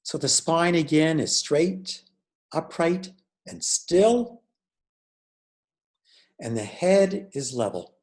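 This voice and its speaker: Slow-paced man guiding a meditation. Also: on the high side, animated, darkish tone. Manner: measured, deliberate, with pauses between phrases. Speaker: meditation teacher. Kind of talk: guided meditation instruction.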